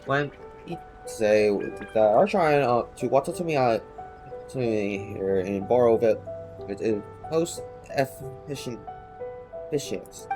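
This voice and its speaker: A man reading out the lines of a polite, devout elderly villager in a video game, haltingly and with stumbles. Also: medium pitch, lively intonation. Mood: apologetic, reassuring. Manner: halting read-aloud, stumbling over words. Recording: game music behind the voice.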